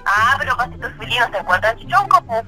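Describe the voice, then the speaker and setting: A woman's voice: a little high, big pitch swings, quick pace, sharp tone. A woman in a phone conversation, answering complaints as company staff. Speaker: call recipient posing as company staff. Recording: music behind a phone call.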